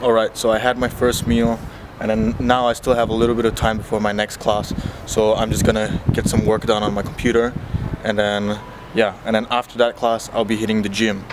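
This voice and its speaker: A man's voice: on the low side, monotone, brisk, heavy background noise. A young man, a university student, chatting casually to a handheld phone camera as he vlogs his day, giving advice with lots of fillers. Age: young adult. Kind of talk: casual vlog talk to camera. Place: restaurant, campus outdoors and gym. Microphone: handheld phone camera at arm's length.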